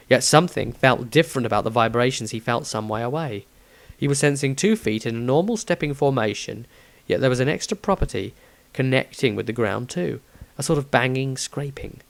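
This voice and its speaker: A man's voice: medium pitch, creaky. A man reading his own fantasy story aloud as scripted narration.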